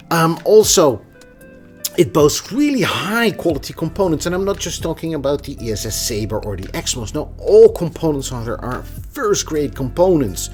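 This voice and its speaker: A man giving a casual, opinionated tech review in a conversational manner. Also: on the high side. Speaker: product reviewer.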